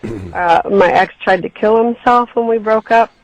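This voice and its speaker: A woman in her twenties calling a radio show, telling her story haltingly. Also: mid-range voice, gravelly, muffled recording. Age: 20s. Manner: hesitant, halting. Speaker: caller.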